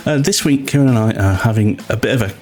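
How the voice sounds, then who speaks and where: A man's voice: fairly low pitch, fast talker, darkish tone. A British man talking casually as the host of a podcast.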